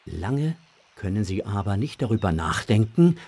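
Animated, husky male storyteller. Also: on the low side, normal speed. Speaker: narrator. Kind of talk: scripted narration of children's audio drama.

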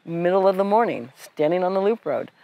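A man's voice: high-pitched, lively intonation, fairly quick, narrow, tinny audio. A man giving easygoing, casual answers in an interview.

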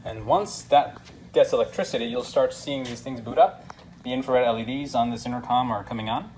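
A man with an average-pitched voice talks through a hands-on product demonstration. He is casual and instructional, going step by step. Faint room noise sits behind his voice. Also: brisk. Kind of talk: hands-on tutorial narration, casual. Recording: clear background noise, room ambience.

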